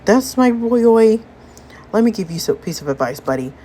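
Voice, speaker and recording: A woman's voice: a little high, sing-song, moderate pace, dark tone, a little hiss or hum, voice pushed up. A woman voicing a cocky male sidekick with a Southern US accent, handing out joking advice in a story. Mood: joshing, advising.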